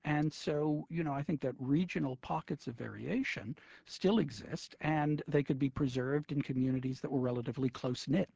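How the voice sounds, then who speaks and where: A man's voice: a little high, normal speed, gravelly, warm tone, no room sound. A man in his sixties, an English professor who is a guest on a radio call-in show, explaining at length with frequent hesitations. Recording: slightly muffled radio broadcast.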